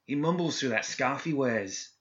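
A man's voice: mid-range voice, lively intonation, moderate pace, a bit bright, lo-fi sound, pitched above the performer's own voice, close to an everyday voice. A man voicing a nervous young male guard who stammers and hesitates as he reports.

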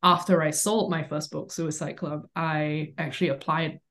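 A woman in her thirties from Singapore, answering interview questions in a relaxed, thoughtful way with many pauses and fillers, over a call. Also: sits low. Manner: relaxed, thoughtful, light laughs, many ums. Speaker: author guest. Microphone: remote call mic.

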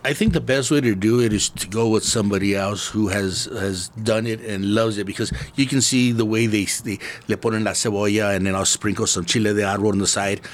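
A man's voice: fairly low pitch, steady tone, a little fast, few pauses, a little hiss or hum. A middle-aged man from East Los Angeles with a Chicano accent, chatting casually as a podcast host in slangy, profane English sprinkled with Spanish. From East Los Angeles, born in Mexico. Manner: laid-back street slang peppered with Spanish and swearing.

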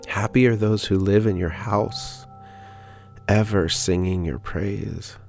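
A man leading a guided prayer meditation in a calm, gentle, unhurried manner with pauses. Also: on the low side, creaky. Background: background music.

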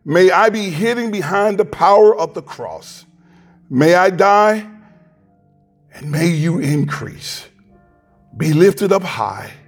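A man preaching as a pastor, praying and reading scripture in a fervent, deliberate way with a loud voice, into a handheld mic in a church. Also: high-pitched, expressive, faint music behind. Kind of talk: sermon with prayer and scripture reading. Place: church sanctuary. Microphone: handheld microphone.

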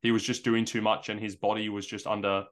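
A man's voice: fairly low pitch, little pitch movement, brisk, a bit bright. An Australian man on a podcast who jokes cheekily and then explains his view earnestly. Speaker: podcast co-host. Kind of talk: casual podcast chat.

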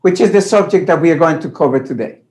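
Interviewer, hesitant questioner, quick enthusiastic reactions, mid-pitched voice. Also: a little mellow. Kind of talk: casual interview.

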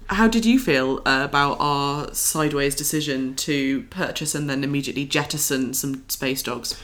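Halting, casual podcast host. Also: mid-range voice, a bit bright. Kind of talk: casual chat, guiding the discussion.

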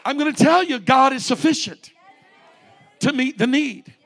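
Man, late forties, pastor, very high-pitched, fervent sermon, repeated refrains. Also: animated, moderate pace, fairly bright tone. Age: 40s.

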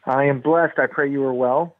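A tinny, phone-like line carries a middle-aged man's voice. He is a guest on a radio interview, speaking conversationally at a medium pitch, with no room echo. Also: steady tone, moderate pace. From United States, raised in Miami, Florida. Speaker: interview guest, ministry founder, deacon. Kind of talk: conversational personal account on radio.

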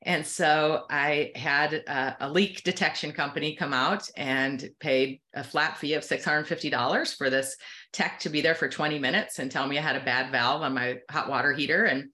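A bright, sharp-toned voice of middling pitch belongs to an optometrist and practice owner who is a guest on an interview podcast. The talk rambles casually, full of restarts and asides. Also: fairly even intonation, normal speed. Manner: conversational, rambling with many restarts.

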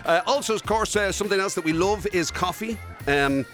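An Irish man co-hosting a radio show in casual, comic banter, excitable and given to exclaiming. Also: high voice, brisk, soft background music. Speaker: radio show and podcast co-host.

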